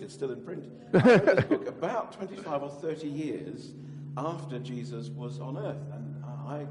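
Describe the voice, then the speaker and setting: A man's voice: mid-range voice, noisy background, close to an everyday voice. A man playing an ancient Greek physician and gospel writer, chatting genially and playfully in a hesitant, interview style. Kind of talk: in-character interview for a church audience. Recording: echoey hall with long reverb, slightly muddy.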